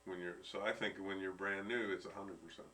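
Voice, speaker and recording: A man in his sixties chats casually and reasons things through quickly and matter-of-factly. His voice sits fairly low and he talks fast. He is heard from a distance, away from the microphone. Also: fairly even intonation, bright, forceful tone, a little hiss or hum. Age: 60s. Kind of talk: casual chat.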